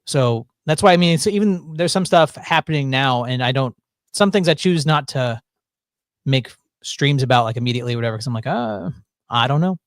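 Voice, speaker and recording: A man's voice: mid-range voice, expressive, brisk, no room sound. Dry-sounding man chatting loosely. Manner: rambling, self-interrupting, jokey. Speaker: podcast host. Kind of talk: casual chat.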